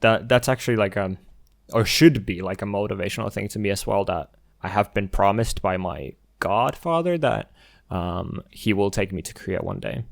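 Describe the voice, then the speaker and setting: Young Finnish-native host, low voice, dry close sound, easygoing and halting. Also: male voice, gravelly, no echo. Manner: relaxed, hesitant, many restarts and fillers. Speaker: podcast host. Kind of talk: casual chat.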